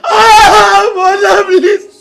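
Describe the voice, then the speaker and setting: A woman's voice: medium pitch, slow pace, roomy sound, close to an everyday voice. A woman acting a grief-stricken young princess in a melodramatic film, with a Nigerian accent and a pleading, wailing delivery. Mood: wailing, desperate.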